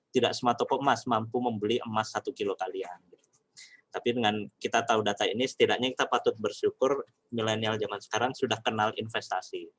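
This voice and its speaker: Male webinar presenter, lowish voice, dry close sound, explanatory and chatty. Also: no room sound. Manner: explanatory, addresses audience as friends, many "nah" fillers. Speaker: webinar speaker on personal finance.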